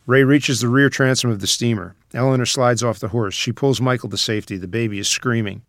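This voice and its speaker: A man's voice: mid-range voice, a little fast, a bit bright, no echo, studio quality. A man reading out screenplay scene headings and stage directions as a scripted narrator.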